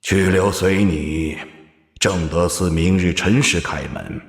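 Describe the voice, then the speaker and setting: Deep, echoing old abbot. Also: male voice, fairly even intonation. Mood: solemn. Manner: measured, formal, solemn. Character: solemn, unhurried old Buddhist abbot, quietly ominous. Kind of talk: audio drama acting. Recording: long echoing reverb, music behind.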